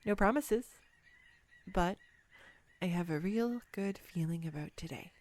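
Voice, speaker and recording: A woman playing a clumsy, nervous, giddy young woman on a first date in a romantic audio roleplay, rambling awkwardly and self-deprecatingly with halting stumbles. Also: on the low side, a little mellow, lightly acted. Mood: shy, hopeful. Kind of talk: scripted romantic audio roleplay addressed to the listener.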